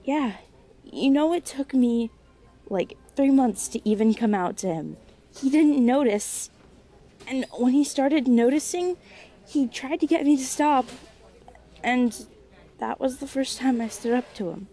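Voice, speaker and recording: Woman acting young college girl in an audio drama, higher voice, halting n apologetic, kinda unsure but resolute. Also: dark tone. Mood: hurt, venting. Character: hesitant young female college student, hurt but quietly resolute. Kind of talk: naturalistic audio drama dialogue.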